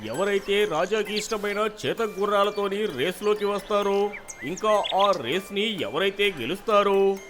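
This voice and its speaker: A performer voicing a royal town crier in an animated story, announcing in a loud, formal manner. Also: medium pitch, unhurried, lightly acted. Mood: proclaiming. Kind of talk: animated moral story character voice. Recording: music behind the voice.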